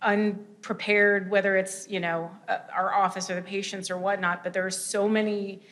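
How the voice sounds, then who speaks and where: A woman's voice: medium pitch, little pitch movement, normal speed, fairly bright tone. A woman clinician on a panel, working through a point in long, thoughtful sentences. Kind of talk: panel discussion remarks.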